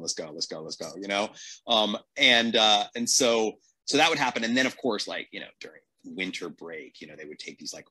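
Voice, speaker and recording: A man's voice: deep voice, bright, forceful tone, breathy edge. A man in casual podcast chat, telling stories and acting out reactions as he goes. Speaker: podcast host.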